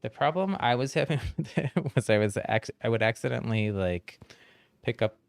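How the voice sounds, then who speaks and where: A man's voice: mid-range voice, lively intonation, moderate pace, creaky, no room sound, clean studio sound. A man streaming a game, chatting casually with viewers, friendly and chatty with frequent ums and laughs. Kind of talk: casual chat while gaming on stream.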